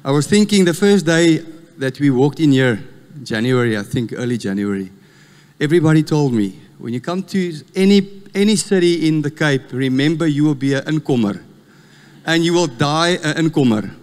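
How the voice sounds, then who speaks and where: Man, Afrikaans South African accent, 50s, guest preacher, storytelling sermon, fairly high voice, echoing church hall. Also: normal speed. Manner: storytelling, animated, laughs at himself. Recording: long church reverb.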